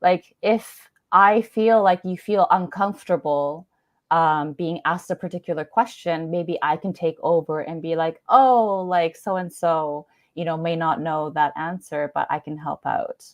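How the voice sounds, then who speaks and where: Canadian woman guest, kinda low voice, dry close sound, chatty n laughs a lot. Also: a little slow, dry recording, highs cut off. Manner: chatty, laughs often, many fillers like "like" and "you know". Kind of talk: casual conversation.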